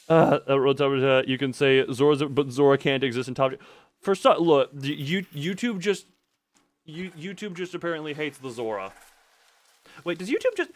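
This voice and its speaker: A man with a fairly high voice streams a video game and chats to his viewers. His pitch swings around in a lively way, and he talks in a rambling, expressive manner with the odd crude exclamation. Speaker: live streamer. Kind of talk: casual gaming livestream chat.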